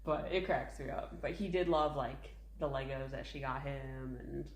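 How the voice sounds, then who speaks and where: A woman's voice: very deep voice, normal speed. A woman in her thirties co-hosting a casual parenting podcast, chatty and full of filler words. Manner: chatty, many "like" fillers, laughs often. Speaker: podcast co-host, mother. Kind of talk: casual chat. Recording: echoey room.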